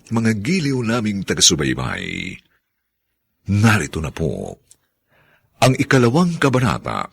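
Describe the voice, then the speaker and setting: A man's voice: on the low side, gravelly, fairly bright tone, husky, no room sound. A Filipino man narrating a radio drama as its announcer, in a solemn, theatrical scripted style.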